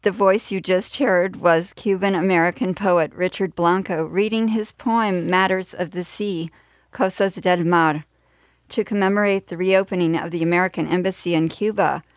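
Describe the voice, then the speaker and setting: A woman's voice: lowish voice, steady tone, normal speed, no echo. A woman presenting a radio show, introducing and wrapping up segments in a prepared host's delivery. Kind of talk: scripted radio hosting.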